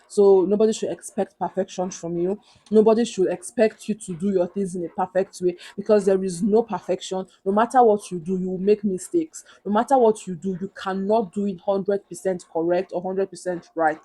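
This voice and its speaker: A young woman with a Nigerian accent and a big, loud voice, earnestly giving motivational advice to camera and repeating her points for emphasis. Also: mid-range voice, steady tone, a little fast. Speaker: vlogger.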